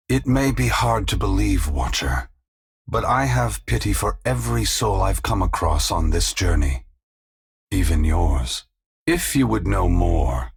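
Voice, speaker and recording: A weary, compassionate god for a video game, low and very heavily gravelled. The voice is husky and rasping. He speaks with solemn, measured gravity and formal, philosophical phrasing. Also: full character voice. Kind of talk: video game voice acting, dramatic dialogue.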